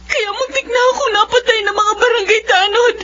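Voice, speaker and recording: High, piercing, distraught young Filipino woman. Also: fairly even intonation, brisk, bright, forceful tone. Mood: tearful, shaken. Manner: tearful, melodramatic. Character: distraught young woman cursed as a shapeshifting aswang. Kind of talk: radio horror drama acting. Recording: dull lo-fi radio drama recording.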